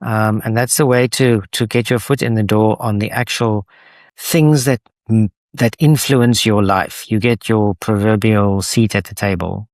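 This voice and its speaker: A man with a South African accent and Afrikaans as his mother tongue talks in an interview. His voice sits low and sounds close and dry. He feels his way through sentences, stopping and restarting often. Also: steady tone, dry recording. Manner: halting, many restarts and fillers. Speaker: guest, association co-founder. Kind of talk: conversational interview answers.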